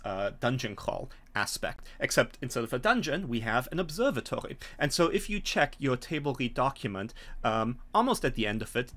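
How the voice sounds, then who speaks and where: Middle-aged male game master, mid-range voice, animated explanatory narration over a video call. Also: mid-range voice. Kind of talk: explanatory tabletop scenario narration. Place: small home room, on a video call.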